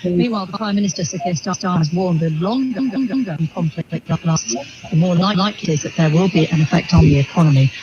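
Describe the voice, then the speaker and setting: A man's voice: sits high, quick pace. A man presenting live breakfast radio news and interviewing guests, heard over shortwave radio. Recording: muffled shortwave radio with clear static. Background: radio static and hiss.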